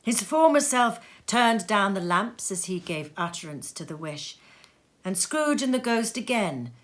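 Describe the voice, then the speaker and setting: A woman reading a classic story aloud as expressive narration, with dramatic emphasis and deliberate pauses. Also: medium pitch. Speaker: storybook reader. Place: sitting room.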